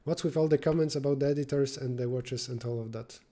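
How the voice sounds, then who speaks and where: A man live-streaming his programming work, thinking aloud and answering chat, sometimes dropping to a quiet mutter as he works. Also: mid-range voice, fairly even intonation, quick pace. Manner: drops to quiet muttering while working.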